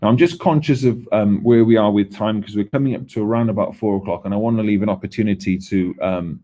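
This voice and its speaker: A man's voice: fairly low pitch, fast, dark tone. A man from Wales, giving a conversational conference presentation on user research, with frequent ums and restarts.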